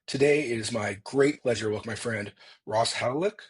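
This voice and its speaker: A man's voice: medium pitch, brisk, husky. A man hosting a podcast, introducing his guest and asking friendly, informal questions. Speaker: podcast host and investor. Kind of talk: casual podcast interview.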